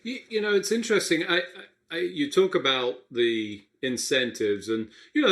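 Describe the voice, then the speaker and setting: A man's voice: mid-range voice, a bit bright. Man hosting a health radio interview. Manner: reflective, thinking aloud with restarts. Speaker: radio show host, physician. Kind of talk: interview host.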